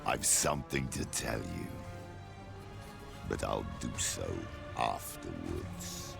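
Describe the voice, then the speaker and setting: A man playing a menacing, imperious dark fantasy warlord in a video game, speaking slowly and ominously. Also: extremely low pitch, animated, creaky, music in the background, full character voice. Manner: slow, ominous, portentous delivery. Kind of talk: dramatic video game acting.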